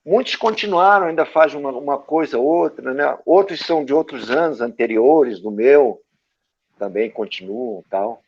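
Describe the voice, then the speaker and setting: A man from Brazil, an actor and director, telling stories from his career as an interview guest, with a lively anecdotal manner and frequent tag questions. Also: medium pitch, moderate pace, breathy edge. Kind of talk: storytelling interview answers. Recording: dull lo-fi audio.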